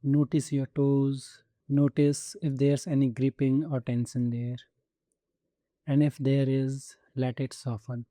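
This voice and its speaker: A man leading a guided meditation with soothing, gentle instruction and measured pauses between phrases. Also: mid-range voice, little pitch movement, dry recording, studio quality. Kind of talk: guided body scan meditation.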